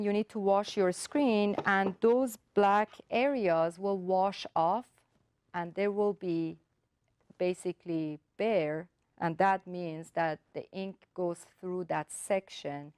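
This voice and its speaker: A woman whose first language is Persian, an artist calmly explaining her work and technique step by step, often pausing with ums and uhs. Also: mid-range voice, clean tone, dry recording. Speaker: artist giving a demonstration.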